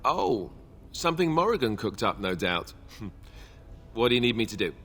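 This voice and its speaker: A man's voice: medium pitch, sing-song, moderate pace, fairly bright tone, slight background noise. A young future king in a fantasy video game. He has a mid-range voice and a wry, joking manner. His delivery is conversational, sardonic and self-deprecating. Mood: wary. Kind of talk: scripted video game dialogue acting.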